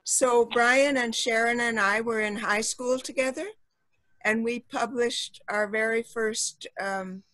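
A woman on a video call sharing memories in a rambling way, with many pauses and restarts. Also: fairly high pitch, steady tone, dry recording, rough recording. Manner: rambling, many fillers and restarts, warm recommendations. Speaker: participant. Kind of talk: casual chat on a video call.

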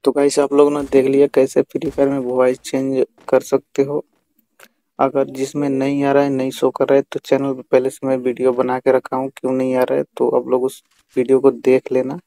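A man's voice: mid-range voice, completely flat delivery, moderate pace, mellow, no echo, poor audio. A man giving a casual, instructional how-to voice-over, addressing viewers directly and repeating himself. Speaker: tutorial video host. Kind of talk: casual how-to narration over screen recording.

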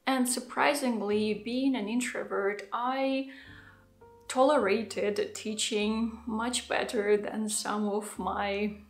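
A woman in her thirties talks slowly to the camera from her living room, speaking English as a second language. Her voice is of middle pitch and her delivery is thoughtful and unhurried. The room gives the sound a noticeable echo. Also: fairly even intonation, faint music behind. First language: not English. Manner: thoughtful, unhurried, with soft laughs and gestures. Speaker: vlogger. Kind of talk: casual talking-head vlog. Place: home living room, indoors. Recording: echoey room.

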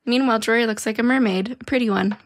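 A woman's voice: fairly high pitch, quick pace, dry recording, studio quality. A woman co-hosting a podcast, joking and self-mocking in casual chat. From Pacific Northwest. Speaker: podcast co-host.

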